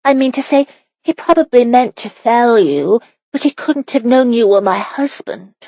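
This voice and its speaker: An anxious young wife from a medieval romance audiobook as a woman narrator acts her. Her voice is husky and breathy, with pleading, drawn-out emotional delivery. The recording is close, dry and slightly dull. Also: on the high side, no echo, voice pushed up.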